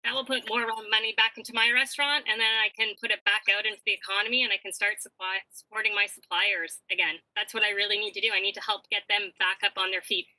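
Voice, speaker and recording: Restaurant owner lady giving testimony, super bright punchy voice, direct n chatty, really dry sound. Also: medium pitch, little pitch movement, quick pace, few pauses, dry recording, poor audio. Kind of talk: conversational testimony.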